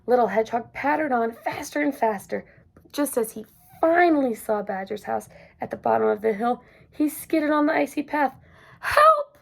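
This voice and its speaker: A woman reading a children's picture book aloud, gentle and expressive. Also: a little high, moderate pace, gravelly, slight background noise. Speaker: storyteller. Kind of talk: children's story read-aloud.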